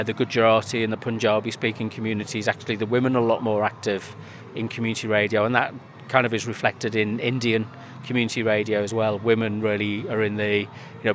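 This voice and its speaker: A man's voice: on the low side, a little hiss or hum. A man talks about community media at an outdoor event. He is chatty and hesitant, with many fillers and restarts. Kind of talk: casual interview answers.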